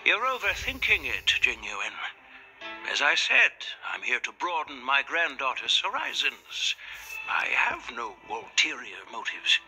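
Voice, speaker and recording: A man playing a kindly, mild old grandfather general in an anime-style video game, gentle and apologetic. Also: medium pitch, wildly swooping pitch, a little slow, faint music behind, full character voice, poor audio. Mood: unhurried, evasive.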